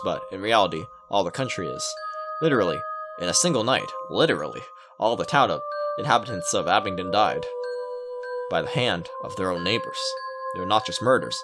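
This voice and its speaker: A man hosting a gaming video, reading a visual novel aloud with casual commentary. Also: sits low. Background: game music.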